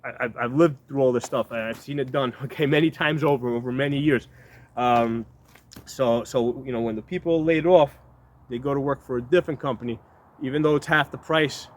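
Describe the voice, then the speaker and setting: A man's voice: mid-range voice, fairly even intonation, brisk, slight background noise. A man around fifty talking casually to his viewers in a blunt, rambling way, restarting words and asking "right?" again and again. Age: 50s. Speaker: video host. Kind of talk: casual talk to camera.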